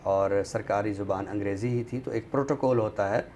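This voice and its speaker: A man with a Pakistani accent, speaking as an expert guest on a literary talk show in a measured, explanatory way. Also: on the low side, darkish tone, slight background noise. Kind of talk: conversational commentary on a talk show.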